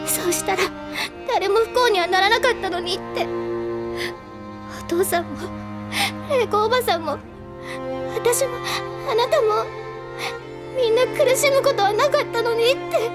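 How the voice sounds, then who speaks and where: Casting: frail teenage girl for audio drama, extremely high voice, tearful, bittersweet, over prominent violin music. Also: a little slow, long pauses. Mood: tearful, wistful. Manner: fragile, tearful, confessional. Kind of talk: Japanese audio drama acting. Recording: loud string music behind the voice. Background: violin and string music.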